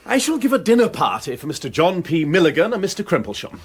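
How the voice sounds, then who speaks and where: An actor playing an urbane, wry aristocratic gentleman detective with an upper-class English accent, courteous and breezy, in a radio drama. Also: fairly high pitch, very animated, fast, breathy edge. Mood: genial, wry.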